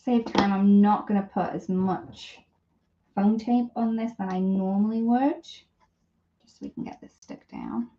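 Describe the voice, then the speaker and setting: A woman's voice: medium pitch, very animated, unhurried, mellow, highs cut off. A woman hosting a live craft tutorial in casual chat, thinking aloud as she works, with a self-deprecating streak. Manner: chatty, thinking aloud while working. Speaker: host of a live papercraft demonstration.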